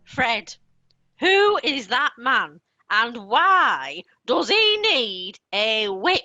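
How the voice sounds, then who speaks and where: Querulous old mother, wildly swooping pitch. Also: big pitch swings, extremely slow, pushed and bright, dry recording, muffled recording, heavily acted. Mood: baffled, indignant. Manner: querulous, mishearing. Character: muddled, hard-of-hearing elderly mother, demanding diner. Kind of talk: comic radio-play acting.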